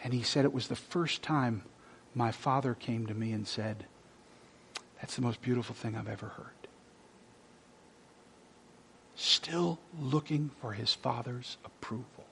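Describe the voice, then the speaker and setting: A male pastor preaching a sermon, reading scripture loudly and dropping to soft, hushed whispers. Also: medium pitch, measured pace, creaky, pauses often, a little hiss or hum. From Texas.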